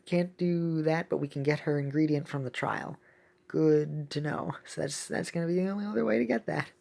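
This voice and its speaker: Woman, lowish husky voice, casual gameplay commentary, excitable, thinking aloud. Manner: excitable, exclaiming often; thinks aloud while playing. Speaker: gaming video host.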